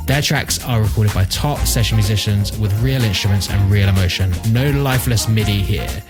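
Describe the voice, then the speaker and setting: A man's voice: lowish voice, normal speed, over music. A man hosting a podcast, reading a scripted sponsor message to listeners. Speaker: podcast host.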